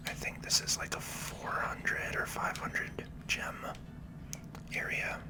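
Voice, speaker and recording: A person giving gentle, unhurried ASMR game commentary entirely in a breathy whisper. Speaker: gaming video host.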